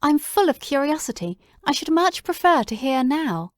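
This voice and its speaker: Woman voicing Watson, an excitable male doctor. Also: high voice, moderate pace, dry recording, studio quality, pitched above the performer's own voice. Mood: eager. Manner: breathless, exclamatory. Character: excitable, loyal male doctor friend, middle-aged.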